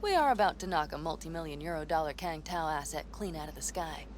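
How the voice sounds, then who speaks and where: A woman's voice: sits low, expressive, quick pace, a little hiss or hum. A woman voicing a tough, blunt young nomad woman in a video game cutscene, brash and teasing, swearing often. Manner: brash and teasing, curses a lot. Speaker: game character. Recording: game audio with loud music behind.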